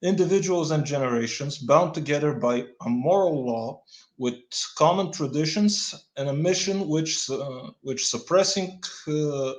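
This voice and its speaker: A Bulgarian man reading a dense political text aloud, stumbling over words and adding deadpan asides. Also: on the high side, measured pace, echoey room, low-quality recording. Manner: stumbling, restarts words, deadpan wry comments. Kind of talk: halting read-aloud with dry asides.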